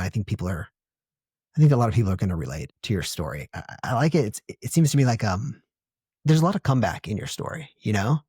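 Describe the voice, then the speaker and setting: A man's voice: fairly low pitch, mellow, breathy edge, dry recording, studio quality. A man hosting a podcast, encouraging and enthusiastic, often stumbling and repeating words. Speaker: podcast host. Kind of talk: casual interview.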